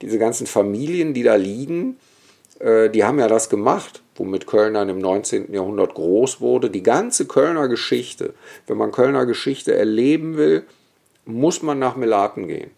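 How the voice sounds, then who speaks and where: Gravelly-voiced man. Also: medium pitch, expressive, normal speed, dark tone. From Germany, Bergisch Gladbach area. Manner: vivid, opinionated, frequent fillers and asides. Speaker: guest, historian and cemetery expert. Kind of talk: relaxed storytelling in conversation.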